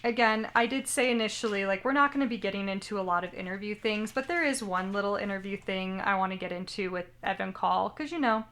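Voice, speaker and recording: A woman's voice: medium pitch, quick pace, hardly any pauses, fairly bright tone. A young woman co-hosting a casual anime podcast, chatty and rambling, often second-guessing how she pronounces names. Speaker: podcast co-host.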